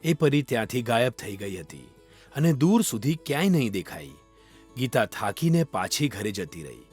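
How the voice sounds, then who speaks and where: A man's voice: medium pitch, sing-song, normal speed, darkish tone, slightly rough. A man narrating a scripted children's fairy tale as a storyteller. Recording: music under the voice. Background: background music.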